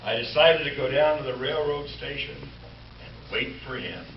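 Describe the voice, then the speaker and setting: A man playing an earnest, beleaguered Western lawman in 1940s radio comedy style, deliberate and dramatic with exasperated asides. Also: medium pitch, fairly even intonation, vocal fry, highs cut off. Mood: dramatic narration. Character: beleaguered, earnest Western lawman narrating his own predicament. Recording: roomy, distant, noisy live stage recording.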